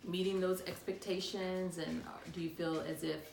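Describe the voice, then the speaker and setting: Brief: woman therapist, calm and measured, gravelly, roomy echo. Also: on the low side, a little hiss or hum. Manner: calm, measured, asks reflective questions. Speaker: therapist in a role-played session. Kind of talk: counseling session role play.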